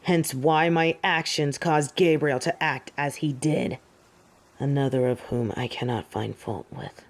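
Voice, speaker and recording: A woman's voice: very deep voice, measured pace, heavily acted. A woman playing a proud fallen angel in an audio drama, with haughty, theatrical and formal delivery. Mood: solemn, accusing. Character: proud, defiant fallen female angel of judgement. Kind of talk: scripted audio drama acting.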